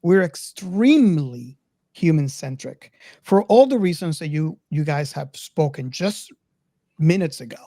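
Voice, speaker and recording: A man's voice: a little high, lively intonation, a little slow, warm tone, no echo, studio quality. A man in a casual film discussion, earnest and insistent, repeating his phrases to make a point. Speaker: podcast co-host.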